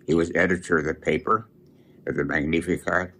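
A man over a hundred years old, a priest, reminiscing in an interview in plain, halting short phrases. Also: low-pitched, fairly even intonation, fairly bright tone, slightly rough. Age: over 100. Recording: noisy on-location interview recording.

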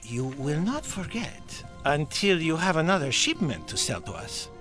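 An actor playing a suave, sly Spanish businessman in a radio drama, smooth, mock-polite and wry. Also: fairly high pitch, huge pitch swings, moderate pace, a bit bright, soft background music. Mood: wry, smug.

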